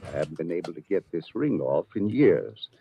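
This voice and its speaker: An actor playing a dejected, courteous old former bank president in a 1940s radio drama. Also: male voice, low-pitched, very animated, normal speed, warm tone. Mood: sad, weary. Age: older. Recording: muffled, noisy old radio recording.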